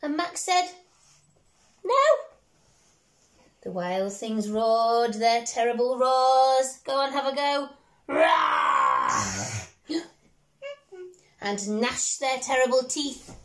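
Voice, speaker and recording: A woman in her thirties with an English accent reads a picture book aloud to children as a teacher would, with expressive, drawn-out storytelling. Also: high voice, slight background noise. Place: small bedroom at home, indoors.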